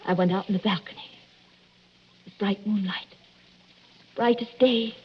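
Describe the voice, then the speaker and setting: An actress playing a small, frightened, hysterical married woman in a 1940s radio drama, speaking in breathless, halting fragments. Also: medium pitch, fairly even intonation, slightly rough. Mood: haunted, agitated. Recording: dull lo-fi vintage radio recording.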